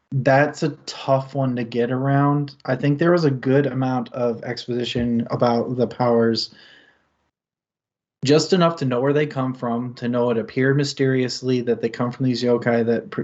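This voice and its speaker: A man talking through a book in a relaxed club discussion, stopping and restarting his thoughts and chuckling now and then. His voice sits at a middling pitch. The stream audio is a little dull and lo-fi. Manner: rambling, lots of fillers and restarts, chuckles often. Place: home setup, streamed discussion. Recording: slightly muffled lo-fi stream audio.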